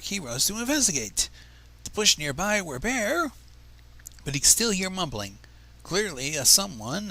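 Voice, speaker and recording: Bright, lively man reading aloud. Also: high voice. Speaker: livestream reader. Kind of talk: expressive fan fiction narration with casual asides.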